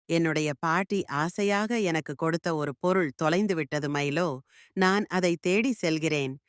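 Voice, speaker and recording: Woman voicing a gentle young girl, slow and clear. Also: low-pitched, no room sound, studio quality. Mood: earnest. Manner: gentle, earnest. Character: kind curious young village girl.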